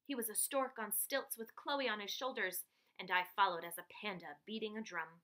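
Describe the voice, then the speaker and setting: Bright-voiced woman reading a children's story aloud. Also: medium pitch, normal speed. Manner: animated, dramatic emphasis on story phrases. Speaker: teacher reading aloud to pupils. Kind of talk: expressive children's picture-book read-aloud.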